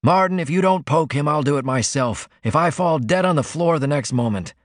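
Man acting a sardonic, sickly man, raised pitch. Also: animated, normal speed, no room sound, studio quality, lightly acted. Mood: fierce. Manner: solemn, meditative, urging. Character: sardonic dying man, sickly, solemn, goading.

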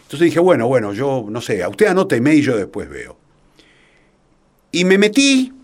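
Older man, Rioplatense accent, lively wide intonation, casual storyteller, self-mocking, digressive. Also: medium pitch, a little slow. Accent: Rioplatense Argentine. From Argentina. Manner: animated, self-deprecating, hesitant digressions. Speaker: interview guest, theatre playwright.

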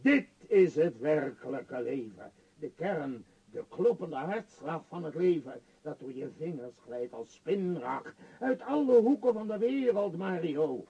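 A man's voice: a little high, animated, a little slow, hardly any pauses, mellow, husky, full character voice, low-quality recording. A male actor playing a power-hungry, grandiose tycoon in a Dutch radio play, declaiming emphatically. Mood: exalted, secretive. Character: power-hungry, grandiose tycoon obsessed with his world empire.

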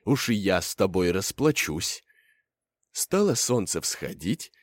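Guy telling a folk tale, super expressive, theatrical, dry studio sound. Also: low voice, dry recording. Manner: folksy, theatrical. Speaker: storyteller. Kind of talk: expressive fairy-tale narration.

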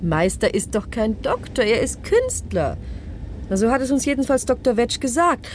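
An actress playing a teasing, quick-witted young woman in a 1960s radio play. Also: a little high. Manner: teasing, lively, brisk. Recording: faint hiss, old tape.

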